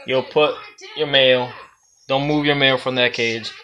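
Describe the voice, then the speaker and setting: A man's voice: mid-range voice, fairly even intonation, moderate pace, pushed and bright. A young man with a Puerto Rican accent gives a casual, rambling how-to vlog, filmed on a phone in an indoor reptile room. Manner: loose and rambling, with laughs and swearing. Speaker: reptile breeder and vlogger. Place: indoor reptile room lined with storage tubs. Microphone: phone held close at arm's length.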